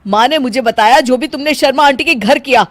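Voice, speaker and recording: A woman's voice: sits high, brisk, no echo, close to an everyday voice. A woman voicing a weary, annoyed husband in a cartoon family story, subdued and stern.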